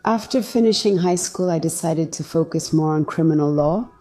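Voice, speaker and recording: A woman raised in Belgium, speaking as an interview guest in a calm, matter-of-fact way. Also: deep voice, normal speed, faint music behind. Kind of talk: personal interview.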